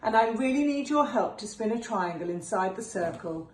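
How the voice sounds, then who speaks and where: Woman as a patient mother spider, lively intonation. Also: medium pitch, lightly acted. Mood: gently chiding. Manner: instructive, drawn-out emphasis on key words. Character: patient, gently chiding mother spider teaching her young son. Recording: roomy echo with faint background noise.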